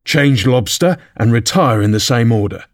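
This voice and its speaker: A man's voice: medium pitch, lively intonation, moderate pace, lightly acted. A man voicing a boisterous mythical beast in a children's storybook, blunt, bossy and decided in manner. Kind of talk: children's storybook character reading.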